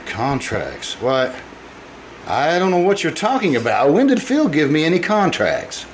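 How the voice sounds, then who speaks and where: A man's voice: on the high side, wildly swooping pitch, measured pace, slight background noise, low-quality recording. A man playing a troubled, forgetful factory boss in 1940s radio style, hesitant, anxious and stammering. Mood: flustered, confused.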